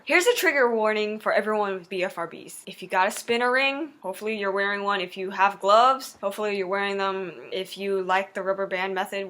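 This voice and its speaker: A woman's voice: medium pitch, a bit bright. A woman records a personal explanatory vlog on a laptop webcam. She speaks to her viewers in wordy sentences, mixing clinical vocabulary with blunt, frank asides. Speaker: vlogger. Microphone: laptop webcam microphone.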